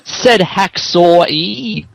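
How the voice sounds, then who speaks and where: A man's voice: high-pitched, extremely slow, vocal fry, dry recording. A man narrating a comic dramatic reading of fan fiction, mock-serious and amused. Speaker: narrator, podcast host.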